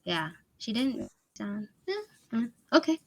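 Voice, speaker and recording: A woman's voice: on the high side, big pitch swings, unhurried, vocal fry. A woman tutoring over a video call in a casual, chatty way, laughing often and filling with "like" and "you know". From Miami, USA. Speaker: English tutor.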